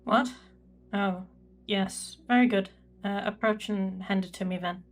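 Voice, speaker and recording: A woman's voice: mid-range voice, fairly even intonation, slight background noise, close to an everyday voice. A woman playing a reserved imperial records prefect, dictating a log in a formal, precise manner for a sci-fi audio drama. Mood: flustered. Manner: formal, measured, clinical.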